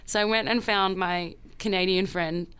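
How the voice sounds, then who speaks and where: An Australian woman chats as a podcast co-host in a medium-pitched voice. Her delivery is casual and playful, with a tongue-in-cheek comic bent. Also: fairly even intonation, normal speed, a bit bright, smooth.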